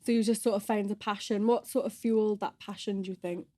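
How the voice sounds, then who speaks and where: A woman hosting a podcast, introducing her guest and asking gentle prompting questions. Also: medium pitch, steady tone, quick pace, no echo, clean studio sound. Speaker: podcast host. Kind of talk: interview questions.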